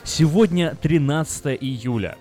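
A man's voice: on the high side, a little slow, dark tone. A man hosting a casual radio show, talkative and opinionated, with hesitant fillers. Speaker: radio host. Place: radio studio. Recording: faint music under the talk.

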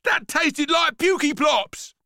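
A man's voice: very high-pitched, expressive, slow, gravelly, bright, forceful tone, no room sound, studio quality, voice pushed up, heavily acted. A man voicing a bratty, greedy young boy in a storybook, whiny and slangy, given to explosive outbursts. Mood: disgusted, furious.

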